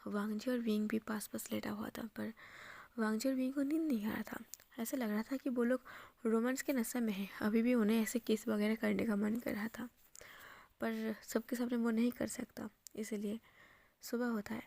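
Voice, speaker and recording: A woman narrating a romance story in a soft, intimate whisper. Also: a little high, steady tone, moderate pace, creaky, no echo.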